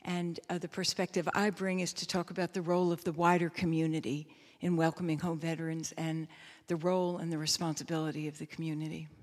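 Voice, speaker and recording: A woman's voice: low-pitched, flat delivery, quick pace, darkish tone. An older woman, a pastor, introducing herself on a public panel in an articulate, composed way. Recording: reverberant hall sound.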